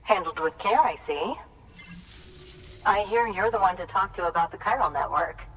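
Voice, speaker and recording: A woman's voice: medium pitch, normal speed, fairly bright tone, natural voice. A woman playing an earnest, idealistic researcher in a video game, speaking in plain declarative phrases. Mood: earnest, hopeful. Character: earnest, idealistic researcher keen to share knowledge. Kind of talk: dramatic video game dialogue. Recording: distant from mic, faint noise.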